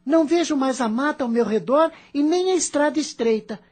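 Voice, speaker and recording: A woman's voice: high voice, animated, a little fast, darkish tone. A woman playing a rebellious, selfish teenage boy, an irritable dreamer with a curt, complaining way, in an audio drama. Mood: dreamy, explaining.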